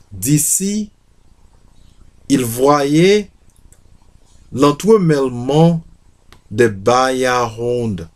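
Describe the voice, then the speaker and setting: A man reads literary fiction aloud. His pace is slow and deliberate, and his mid-pitched voice rises and falls widely as he goes. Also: darkish tone. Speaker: reader of a novel excerpt. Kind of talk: literary reading aloud with brief English asides.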